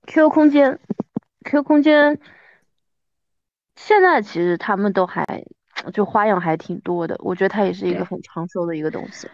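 A woman in her thirties hosting a podcast, chatting casually and reminiscing in an animated, self-deprecating way. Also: mid-range voice, normal speed, pauses often, no echo, dull audio. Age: 30s. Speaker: podcast host.

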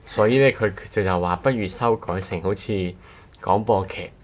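A man with a Cantonese accent co-hosting a podcast in casual chat, speaking in halting, rephrased fragments. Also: sits low, a little hiss or hum. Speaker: podcast co-host.

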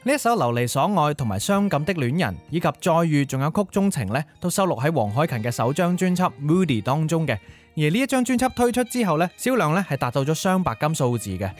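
A man with a somewhat high-pitched voice narrates a music history show from a script. Quiet music runs underneath his presentation. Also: big pitch swings, fast. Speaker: music radio or podcast host.

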